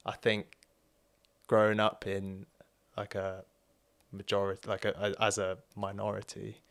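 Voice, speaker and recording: Soft-spoken young man, gravelly and flat. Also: on the low side, measured pace. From born in South Korea, grew up in Wales. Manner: soft-spoken, hesitant, many fillers. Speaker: guest. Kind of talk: candid personal interview.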